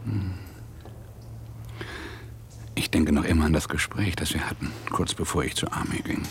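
Deep, gravelly, brooding police detective. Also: male voice, slight background noise. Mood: relieved. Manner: brooding, terse, measured phrases. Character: hard-boiled, shaken New York police detective, weary and angry. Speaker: actor, narrator and lead. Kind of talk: radio crime drama, intimate first-person monologue and dialogue.

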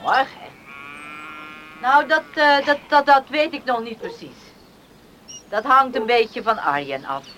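A woman's voice: a little high, lively intonation, a little slow, pauses often, a bit bright, a little hiss or hum. An actress in a radio drama playing a grateful, caring middle-aged farm mother. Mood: questioning, brisk.

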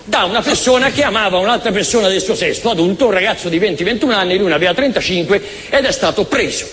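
A man's voice: high voice, animated, quick pace, fairly bright tone. A man giving an impassioned, emphatic public address, using rhetorical questions and repeating words for weight. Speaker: speaker addressing an audience. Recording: reverberant hall, faint noise.

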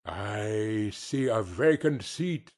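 A man voicing a solemn male spirit who speaks slowly and reprovingly. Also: on the low side, animated, a little mellow, voice pushed down, full character voice. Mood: grave. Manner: slow, grave and reproving. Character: solemn male Christmas spirit, stern and grave.

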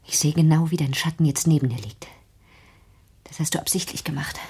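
A woman acting in a radio play as a fearful, accusing, reclusive grown daughter, brooding and intense, with a breathy, whispery voice. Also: deep voice, fairly even intonation, a little fast, a little mellow, full character voice.

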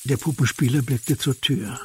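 An actor narrating a detective radio drama in a composed, scripted storytelling style. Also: male voice, medium pitch, steady tone, moderate pace, music in the background, natural voice.

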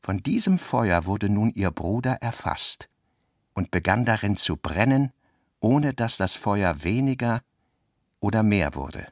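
A man's voice: on the low side, animated, a little slow, no room sound, studio quality. A man reading literary prose as the narrator of a radio drama.

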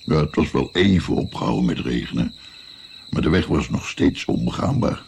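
An actor playing an old grandfather in a radio play, reflectively recounting his past travels in a gravelly voice. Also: very deep voice, animated, normal speed, darkish tone, a little hiss or hum, full character voice. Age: older. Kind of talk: radio drama storytelling.

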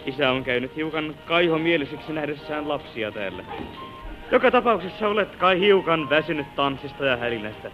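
An actor playing a considerate middle-aged son in an old Finnish radio drama. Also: male voice, fairly high pitch, lively intonation, close to an everyday voice. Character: considerate grown son, gentle middle-aged family man. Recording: dull vintage radio recording with music.

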